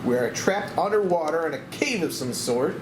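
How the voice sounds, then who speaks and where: A man's voice: fairly high pitch, expressive, normal speed, close to an everyday voice. A man playing an anxious, melodramatic former war pilot who doubts himself, in a screenplay table read. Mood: despairing. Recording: echoey room with background noise.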